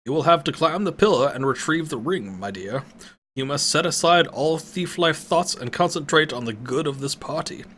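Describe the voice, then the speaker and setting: A man's voice: a little high, expressive, normal speed, a bit bright, a little hiss or hum, voice pushed up. A man voicing a solemn old male wizard who leads an adventuring party in a storybook.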